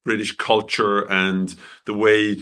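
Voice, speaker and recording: A man from Switzerland, a film director answering interview questions in a halting way with many restarts, clearing his throat as his voice goes. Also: lowish voice, level tone, fairly bright tone.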